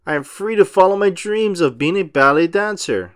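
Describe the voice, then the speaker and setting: A man's voice: high-pitched, normal speed, a bit bright, clean tone, no room sound, clean studio sound, pitched above the performer's own voice. A man voicing a sour, self-pitying cartoon squid with grumbling, dramatic delivery in a storybook reading. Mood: overjoyed.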